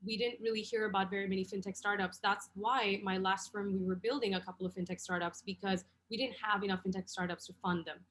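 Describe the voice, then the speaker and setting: A woman in her thirties from Bangladesh, explaining things in a measured way as an investor on a webinar panel over a video call. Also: medium pitch, steady tone, a bit bright. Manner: measured, explanatory, frequent ums.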